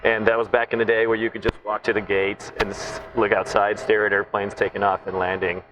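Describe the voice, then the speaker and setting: A middle-aged man who grew up in Southern California, calmly explaining technical ideas in an interview and often checking in with "right?". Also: on the low side, brisk, noisy background. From Taiwan, raised in Southern California. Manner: thoughtful, explanatory, with frequent "right?" tags. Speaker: naval flight test officer, guest. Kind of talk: interview conversation.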